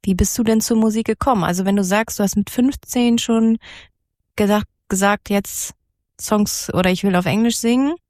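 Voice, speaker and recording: A woman's voice: mid-range voice, moderate pace, a little mellow, no echo, clean studio sound. A woman interviewing a musician, asking friendly conversational questions.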